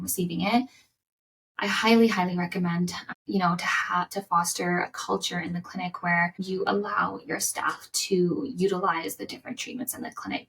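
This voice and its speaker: A woman hosting a solo business podcast, giving conversational coaching advice with a slightly raspy voice from coming down sick. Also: mid-range voice, far from the mic, rough recording. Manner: conversational, repeats words and restarts, upbeat coaching.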